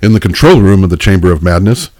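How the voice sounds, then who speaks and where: A man's voice: low voice, lively intonation, quick pace, a little mellow, no echo. A man giving scripted narration for a radio play.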